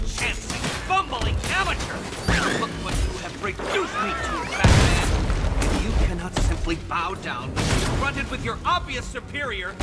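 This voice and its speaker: A pompous, shrieking-high genius villain. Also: male voice, exaggerated voice. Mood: furious, indignant. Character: arrogant, unhinged genius villain obsessed with riddles, petulant and bombastic. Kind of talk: video game villain acting. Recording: loud music and effects behind.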